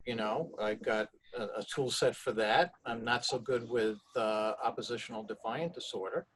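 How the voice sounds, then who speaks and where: Male clinician interviewer, inquisitive, stumbles and repeats while thinking, mid-pitched voice. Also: normal speed, slight background noise. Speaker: pediatric prescriber interviewing a guest. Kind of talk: conversational interview.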